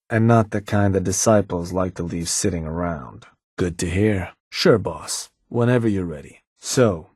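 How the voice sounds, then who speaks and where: A gruff raider fixer from a game, speaking in a low, husky voice with a relaxed, businesslike drawl. The recording is dry and close. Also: male voice, no room sound. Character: gruff middle-aged male raider fixer, calm schemer. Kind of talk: game voice lines.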